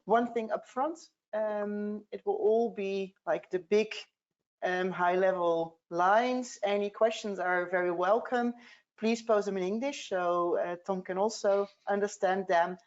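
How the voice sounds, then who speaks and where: A woman with a Dutch accent hosting a business webinar, speaking in a measured way with frequent fillers. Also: mid-range voice, steady tone, a little mellow, lo-fi sound. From Netherlands. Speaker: tax partner hosting a webinar.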